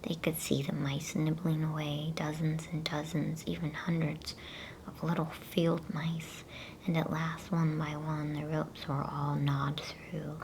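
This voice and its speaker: A woman whispering a bedtime story in an ASMR style, hushed, soothing and unhurried. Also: low voice, completely flat delivery, slight background noise, low-quality recording. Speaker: ASMR storyteller reading a children's novel aloud.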